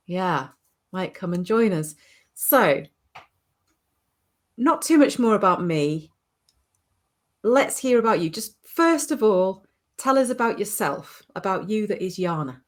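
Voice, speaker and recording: Enthusiastic woman hosting a chat. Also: mid-range voice. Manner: enthusiastic, playful. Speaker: host, course founder. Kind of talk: casual conversation.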